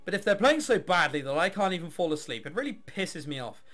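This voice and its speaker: A man's voice: sits high, sing-song, fast talker, fairly bright tone, soft background music. A man voicing a jaded young woman, a reluctant orchestra heiress in a visual novel, with sarcastic, bored delivery. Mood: bored, irritated.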